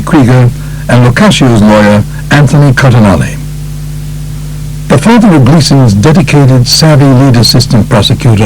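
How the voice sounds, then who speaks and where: A man's voice: mid-range voice, a little mellow, low-quality recording. A man narrating a true-crime book from a script. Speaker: audiobook narrator.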